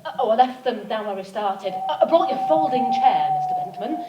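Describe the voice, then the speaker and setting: A woman's voice: on the high side, lively intonation, brisk, highs cut off, close to an everyday voice. A woman acts a meek assistant in a comic radio play, hesitant and apologetic. The voice wobbles up and down from far off in a roomy theatre. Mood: sheepish. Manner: hesitant, stammering. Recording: echoey, distant, very noisy theatre recording.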